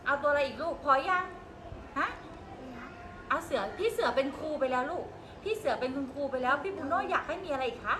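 Woman preschool teacher, high voice, patient prompting, roomy and noisy. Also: expressive, a little fast. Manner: patient, coaxing questions to small children. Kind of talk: guiding young children to make up a story. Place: classroom.

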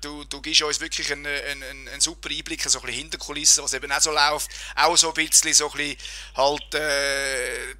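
Slightly hoarse host, bright tone. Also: male voice, a little high, brisk, dry recording. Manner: relaxed, lots of fillers and repeats. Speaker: podcast host. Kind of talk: casual interview and show outro.

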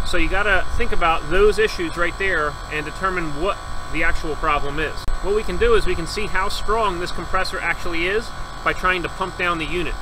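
A man's voice: sits high, noisy background. A man working as an HVAC service technician, methodically explaining each reading step by step in a hands-on troubleshooting tutorial, recorded outdoors beside an AC condenser.

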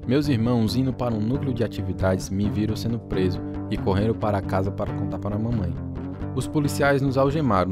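A man giving scripted, dramatised first-person narration as a storyteller. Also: on the low side.

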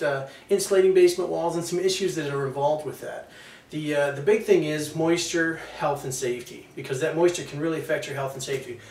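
A man's voice: on the high side, vocal fry, a little mellow. Instructional man, roomy and distant. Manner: explanatory, trips over words then corrects. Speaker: home improvement presenter. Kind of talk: instructional walkthrough. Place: outside a house and in a basement. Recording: roomy, distant, faint background hiss.

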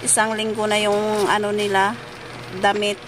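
A woman's voice: medium pitch, completely flat delivery, moderate pace, music in the background. A woman in her thirties with a Filipino accent, chatting casually to her viewers as a family vlogger while walking through a market. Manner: chatty, addresses viewers as guys. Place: busy outdoor market and street. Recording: noisy street market recording.

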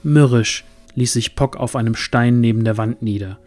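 A man's voice: mid-range voice, moderate pace, darkish tone. A man narrating a scripted fantasy audio drama in a dramatic storytelling manner.

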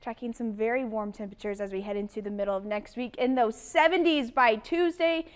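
A young woman meteorologist delivering a brisk, upbeat broadcast weather forecast from a TV studio on a lapel mic. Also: on the high side, clear, resonant tone. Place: TV studio in front of weather graphics.

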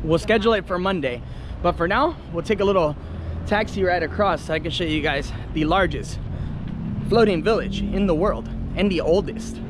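High-voiced American male travel vlogger outdoors. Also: normal speed. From California, United States. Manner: friendly, colloquial. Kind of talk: casual chat to camera and with locals. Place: outdoors by a river and in an open motorboat. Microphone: action camera at arm's length. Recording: noisy outdoor recording with engine and ambience.

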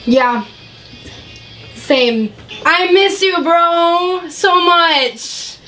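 A woman's voice: extremely high pitch, animated, drawn-out words, bright, forceful tone, soft background music, rough recording. A young woman chatting casually and playfully on a prank call, with a giggly manner. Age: young adult. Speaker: prank caller, YouTube vlogger. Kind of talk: casual prank phone chat.

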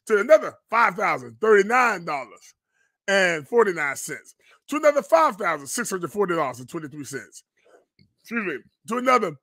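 A man delivers commentary in a preacher's cadence. His voice is bright and forceful, recorded dry and close. He sounds indignant and emphatic, hammering phrases by repeating them. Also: high-pitched, dry recording. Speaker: pastor and online show host. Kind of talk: fiery live-stream commentary, preacher's cadence.

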